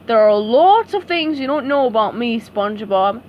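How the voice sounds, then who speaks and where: A loud, dopey starfish buddy who talks slowly and cheerfully, as a woman puts him on. Faint noise hangs behind the voice. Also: a little high, animated, dull audio, natural voice. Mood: excited. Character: dim, excitable male starfish friend.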